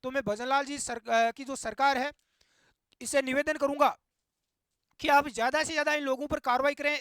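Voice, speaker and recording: High, bright-voiced man commentating. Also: no echo, studio quality. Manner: exhortative, indignant, repetitive. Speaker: YouTube host. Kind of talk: impassioned opinion commentary to viewers.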